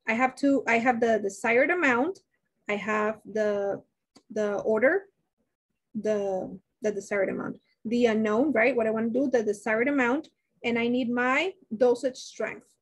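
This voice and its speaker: Nursing teacher lady on webcam, kinda high pitched, thinks out loud and asks the class stuff. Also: measured pace. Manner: thinks aloud with many restarts, asks class questions. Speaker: nursing instructor. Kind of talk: live online math lecture. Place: indoors, webcam beside shared slides.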